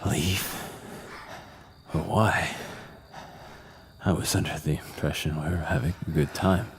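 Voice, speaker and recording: An actor playing a callous, doomed seducer who tells his dark tryst in a slow, brooding horror audio drama style. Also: extremely low pitch, steady tone, slightly rough, exaggerated voice. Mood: smug, seductive. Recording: prominent music under the voice.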